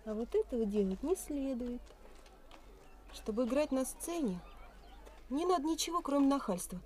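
An actress playing a lively, teasing young fiancée in a 1980s radio play, with theatrical delivery. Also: female voice, sits high, moderate pace. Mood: teasing, encouraging. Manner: theatrical, playful.

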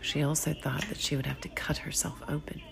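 A woman reading a literary short story in a hushed, deliberate, dramatic way, often sinking to a whisper. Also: low voice, flat delivery, creaky. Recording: music behind the voice. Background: music.

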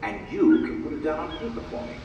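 A man playing the gruff, bossy ghost of a sea captain in an audio drama, blustering and sardonic with nautical oaths. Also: on the high side, wildly swooping pitch, fast, dull audio. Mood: grandly scheming. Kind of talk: theatrical audio drama acting. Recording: echoey hall, distant mic, noisy.

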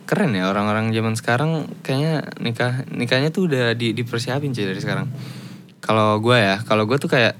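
A man's voice: medium pitch, brisk, a bit bright, a little hiss or hum. A young man, hosting a casual podcast chat, slangy and swearing freely as he asks questions. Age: young adult.

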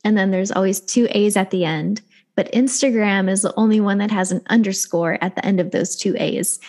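A woman comedian talks as a podcast guest in an informal, chatty way. Her American accent blends West Coast and Midwest, and her voice is mid-pitched for a woman. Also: brisk, a little mellow. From Ohio, US Midwest. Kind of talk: casual chat.

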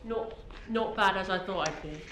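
A woman plays a gentle old lady in an audio drama. She is stoical, and her voice sits at a medium female pitch. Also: moderate pace, a little hiss or hum, natural voice. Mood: reassuring. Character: gentle, stoical elderly woman May, retirement home resident.

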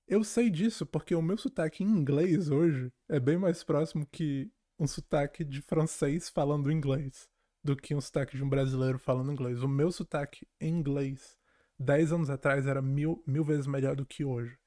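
A man's voice: a little high, fairly even intonation, moderate pace, warm tone. A man telling personal stories in casual chat, acting out quotes and often checking that the listener follows.

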